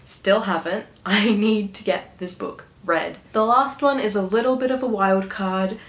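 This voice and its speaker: Aussie girl rambling about books, kinda hoarse, lots of little laughs, echoey room. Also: medium pitch, unhurried. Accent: Australian. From Australia. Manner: chatty, giggly, rambling with fillers. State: hoarse from recovering illness. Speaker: book vlogger. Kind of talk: casual chat to camera.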